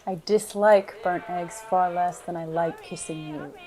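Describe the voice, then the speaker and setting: An actor playing a suave, affectionate gentleman criminal in a noir audio drama, speaking in formal, droll, flirtatious phrases. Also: medium pitch, steady tone, a little slow, natural voice.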